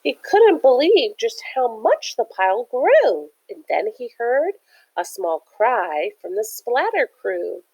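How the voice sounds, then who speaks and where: A woman's voice: fairly high pitch, big pitch swings, takes it slowly, mellow, lo-fi sound. A woman reading a picture book aloud like a teacher, with chatty, encouraging asides that explain things simply to young listeners. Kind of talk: animated children's read-aloud with chatty asides.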